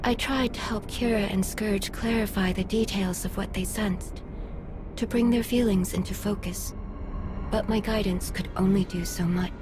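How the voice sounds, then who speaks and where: A woman playing a serene, wise Jedi mentor in a video game, speaking formally and calmly in measured phrases. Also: mid-range voice, noisy background, lightly acted. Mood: calm, reassuring. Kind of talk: video game dialogue acting. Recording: music behind the voice.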